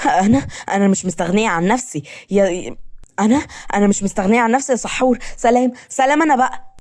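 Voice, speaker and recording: A woman's voice: medium pitch, fast talker, a little mellow, no room sound, clean studio sound, voice pushed up, close to an everyday voice. A woman voicing a playful, teasing young daughter in an audio story, coaxing cheekily with an Egyptian Arabic accent. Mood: cheeky, hurried.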